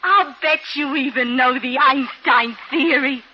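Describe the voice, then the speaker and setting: A woman acting an excitable, adoring young sweetheart in a 1950 radio play, gushing and earnest. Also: high-pitched, measured pace. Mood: romantic, flustered. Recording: muffled, noisy 1950 radio recording.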